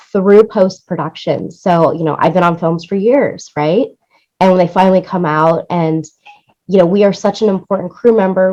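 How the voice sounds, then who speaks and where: Lower-voiced woman, earnest interview guest. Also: mellow, dull audio. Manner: earnest, explanatory, often checks with "right?". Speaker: interview guest, music supervisor and producer. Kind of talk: casual interview conversation.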